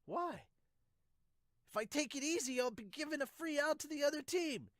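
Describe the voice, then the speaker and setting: A man voicing a loud, brash, excitable teenage boy baseball player in an amateur manga dub. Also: very high voice, normal speed, no room sound, studio quality, voice pushed up. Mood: eager, loud. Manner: shouted, excitable exclamations.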